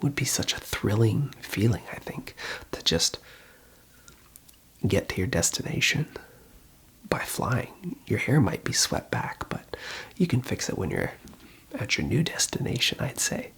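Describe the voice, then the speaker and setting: An ASMR roleplayer as a phone counsellor, speaking in a very breathy whisper at a middling pitch. The delivery is reassuring and unhurried, like a helpline operator taking calls. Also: sing-song, a bit bright. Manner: reassuring, unhurried advice-giving. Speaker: ASMR host playing a call centre phone counsellor.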